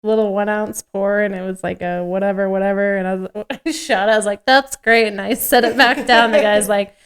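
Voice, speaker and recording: A woman's voice: mid-range voice, steady tone, brisk, clean tone. A woman co-hosting a podcast, reading a script and chatting casually, giggly and playful with joking asides. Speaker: podcast co-host. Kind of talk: scripted table read mixed with casual banter.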